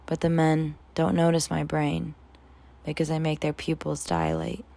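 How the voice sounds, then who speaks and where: A young woman poet reads her spoken word piece in a low voice that crackles with vocal fry. Her delivery is measured and dramatic, yet the melody stays very flat from phrase to phrase. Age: 20s. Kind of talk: spoken word poetry reading.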